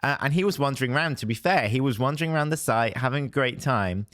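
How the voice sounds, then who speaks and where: A hoarse young man, a showbiz reporter telling festival stories in a chatty way and laughing often. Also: mid-range voice, animated, fast talker, fairly bright tone, dry recording, studio quality. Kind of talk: casual podcast chat.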